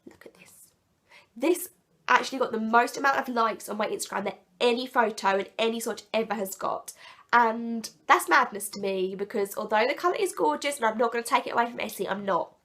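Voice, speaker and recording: A woman with a sick, croaky and blocked-up voice, chatting enthusiastically and rambling a little as she reviews products in a casual vlog. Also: fairly high pitch, fairly quick, fairly bright tone. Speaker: nail polish vlogger.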